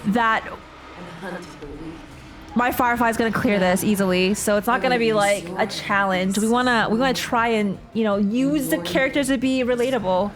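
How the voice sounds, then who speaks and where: A woman's voice: on the high side, moderate pace. A woman live-streaming a game, chatting casually and thinking aloud in a rambling, slangy way. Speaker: streamer playing and reacting to a game.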